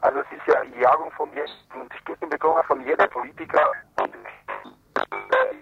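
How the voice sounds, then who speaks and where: A man who is not a native German speaker, giving a spontaneous report as an activist in a radio phone interview, halting and searching for words in broken grammar over a phone line. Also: medium pitch, wildly swooping pitch, creaky, fairly bright tone, faint music behind. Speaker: activist spokesman for Roma protesters, radio phone-in guest.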